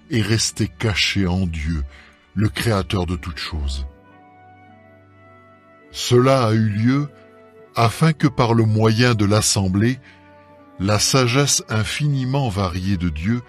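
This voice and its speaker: A man reading scripture aloud as a solemn scripted dramatised Bible recording, with measured, deliberate phrasing. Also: lowish voice. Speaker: scripture reader. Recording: music under the voice. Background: background music.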